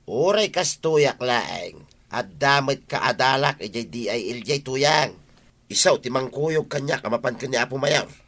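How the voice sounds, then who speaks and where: A man's voice: mid-range voice, expressive, a little slow, fairly bright tone. An actor in a comedy radio drama playing a stubborn, disgruntled older village man who gripes insistently.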